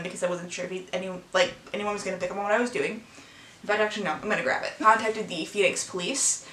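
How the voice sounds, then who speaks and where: A young woman in her late teens talking to camera in a personal vlog, earnest and emotional, halting as she speaks. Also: mid-range voice, sharp tone. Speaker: vlogger. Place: her own room. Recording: echoey room sound.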